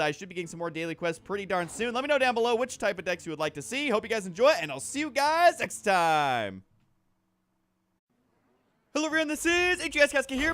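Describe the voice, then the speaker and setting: Male gaming host, fairly high-pitched, loose and self-deprecating, talks through each play as it comes. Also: pushed and bright, a little hiss or hum. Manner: self-deprecating, rambling, thinking aloud. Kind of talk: casual game commentary over a card game.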